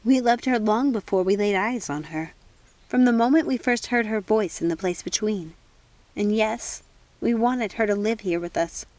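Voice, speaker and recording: A woman voicing gentle, motherly witches in a storybook, tender, measured and sadly resigned. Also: a little high, no echo, close to an everyday voice.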